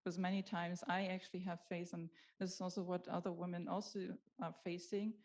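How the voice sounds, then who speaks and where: A woman speaks on a panel in a low, very even voice that barely moves in pitch. She hesitates often and leans on fillers as she talks. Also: fairly quick. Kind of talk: panel discussion.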